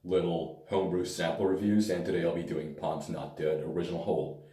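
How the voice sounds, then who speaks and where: A man with a hoarse, tired voice talks through a hair product review in a loose, rambling way. His voice sits very low and sounds dark and mellow. He is recorded from some distance in an echoey room. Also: brisk. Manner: relaxed, rambling, hesitant fillers. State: hoarse, lost voice. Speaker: pomade reviewer.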